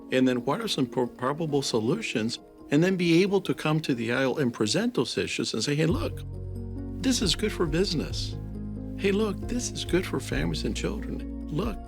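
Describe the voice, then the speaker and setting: A man's voice: mid-range voice, creaky. A man running a social service agency, answering interview questions in an earnest, advocating manner. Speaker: agency CEO.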